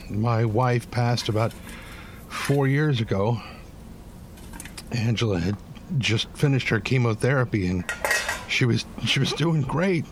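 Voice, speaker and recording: A man playing a weary, bitter but devoted working-class widowed father in an audio drama, plain-spoken and halting. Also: medium pitch, noisy background. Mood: grieving, halting. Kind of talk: naturalistic audio drama acting.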